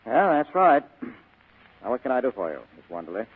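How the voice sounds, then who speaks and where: Fast, wisecracking private eye, tinny radio. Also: male voice, mid-range voice, sing-song, breathy edge. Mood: businesslike. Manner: sardonic, evasive, clipped. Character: cool, wisecracking hard-boiled private detective. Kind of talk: 1940s radio drama acting. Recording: tinny band-limited vintage radio broadcast.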